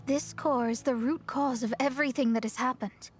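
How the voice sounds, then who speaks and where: A woman's voice: high-pitched, steady tone, moderate pace, natural voice. A woman playing a proud, articulate young female astrologer in a video game, self-assured and slightly haughty. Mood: serious, reflective. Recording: music playing behind.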